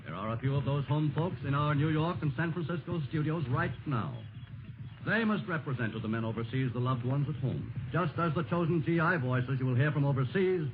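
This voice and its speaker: A man's voice: on the high side, brisk. A man narrating a scripted 1940s radio broadcast in a solemn, rhetorical manner. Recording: scratchy, muffled 1940s radio broadcast, loud noise.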